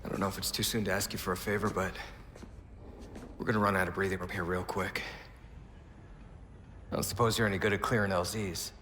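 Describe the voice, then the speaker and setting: An earnest starship first officer in a video game. He talks briskly in a mid-range voice, using clipped military radio phrasing. His voice trails into a long reverb over a faint ambient hum. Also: sits low, little pitch movement, fairly bright tone.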